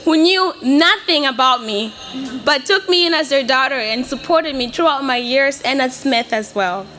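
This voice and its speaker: Young woman from Mozambique, Portuguese native, earnest speech, high bright voice, faint room noise. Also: animated, moderate pace. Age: 20s. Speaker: student speaker. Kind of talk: prepared speech to an audience.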